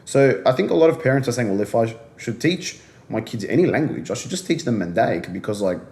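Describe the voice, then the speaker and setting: A man's voice: medium pitch, animated, fast talker, darkish tone. A young man from Australia co-hosting a podcast, talking earnestly and stressing points by repeating himself. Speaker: podcast co-host. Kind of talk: casual podcast discussion.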